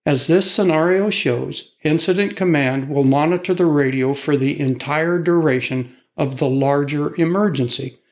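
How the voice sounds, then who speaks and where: A man reading scripted training narration in formal wording. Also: fairly high pitch.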